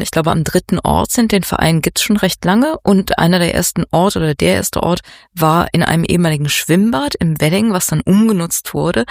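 A woman guest in a radio interview, speaking casually and relaxed, with fillers. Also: lowish voice, no room sound, studio quality. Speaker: interview guest, art collective member.

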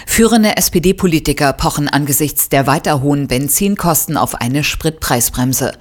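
A woman reading scripted radio news and the weather in a crisp, even way. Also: sits low, normal speed. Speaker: newsreader.

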